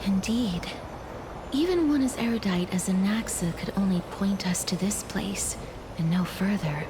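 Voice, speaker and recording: A woman voicing a composed, thoughtful traveler in a video game, speaking softly in a formal, reflective way, at times breathy. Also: medium pitch, a little slow, background noise, lightly acted. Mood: calm, reflective. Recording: loud music under the voice.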